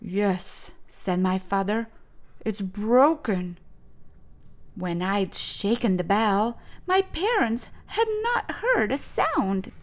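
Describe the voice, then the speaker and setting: Female storyteller, high voice, very lively intonation, expressive children's read-aloud with dramatic pauses. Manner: animated, deliberate, dramatic pauses.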